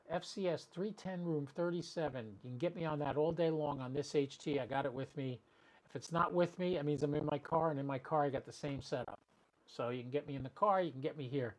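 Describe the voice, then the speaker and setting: A man's voice: on the high side, darkish tone, rough recording. A man chatting casually and rambling as he hosts an amateur radio livestream, talking directly to his viewers.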